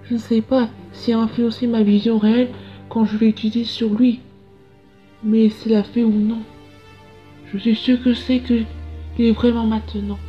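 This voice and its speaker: Woman acting an earnest scientist, highish voice, reflective, comic dub narration, faint music behind. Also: little pitch movement, normal speed, pauses often, lightly acted. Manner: explanatory, reflective. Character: earnest, curious scientist with visions of the future. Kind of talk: dubbed comic narration and dialogue. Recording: dull lo-fi sound with music behind.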